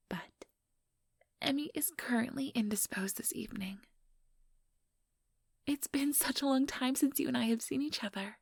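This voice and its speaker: A woman voicing an ambitious, teasing vampire in an ASMR roleplay, breathy and whispery, with a sugary, mocking condescension. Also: on the high side, no echo, clean studio sound, heavily acted. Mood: mock-sweet. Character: ambitious, teasing female vampire, smugly scheming.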